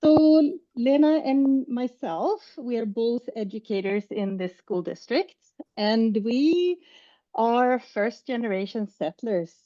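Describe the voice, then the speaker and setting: A woman from Sweden, an educator, giving a measured prepared conference talk over a video call. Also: fairly high pitch, expressive, dry recording, dull audio. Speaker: educator presenting at a symposium.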